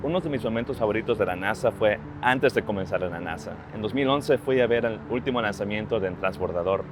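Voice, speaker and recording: Earnest Ecuadorian-born man over soft music. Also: lowish voice, fairly quick. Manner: earnest, with frequent restarts. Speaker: social media strategist. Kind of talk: reflective personal interview. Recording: clear music bed behind voice.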